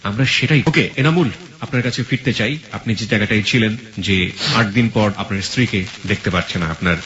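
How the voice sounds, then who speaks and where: A man hosting a life-story interview, addressing listeners in a measured way and mixing in English words. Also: on the low side, a bit bright, lo-fi sound. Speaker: host of a life-story show.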